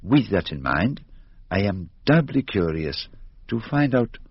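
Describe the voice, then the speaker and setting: An actor playing a shrewd, courteous gentleman detective, in a measured, formal way in a radio drama. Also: lowish voice, sing-song, husky, highs cut off, heavily acted. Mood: musing, wry.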